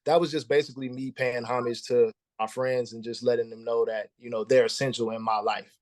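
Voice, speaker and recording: A man's voice: medium pitch, flat delivery, brisk, darkish tone, dry recording. Man in his thirties, laid-back slangy talk, interview guest, dry close sound. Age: 30s. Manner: laid-back, slangy, repeats words when starting thoughts. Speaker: musician guest. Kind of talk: casual interview.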